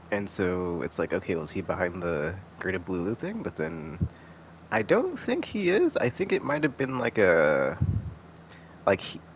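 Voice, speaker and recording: A man giving casual live commentary as a game streamer, rambling and halting, often restarting with "like". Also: low-pitched, wildly swooping pitch, darkish tone, a little hiss or hum, poor audio. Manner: rambling, halting, heavy use of filler "like".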